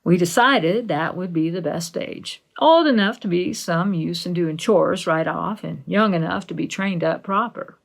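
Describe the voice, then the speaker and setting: A woman voicing a stern, practical middle-aged farm woman, measured and matter-of-fact, in a storybook reading. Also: lowish voice, gravelly, low-quality recording.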